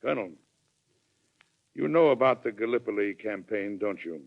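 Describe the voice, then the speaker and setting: Firm American president, muffled old radio. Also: male voice, lowish voice, lively intonation, vocal fry. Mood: grave, persuasive. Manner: formal, authoritative, deliberate persuasion. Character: firm, weary, principled male American president. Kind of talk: 1940s radio drama acting. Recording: muffled 1940s radio recording.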